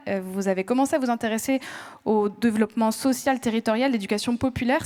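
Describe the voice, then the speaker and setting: A woman's voice: on the high side, darkish tone. A woman moderating a round table, introducing each panelist with frequent hesitations and restarts. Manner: hesitant, many "euh" fillers and restarts. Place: conference room with audience.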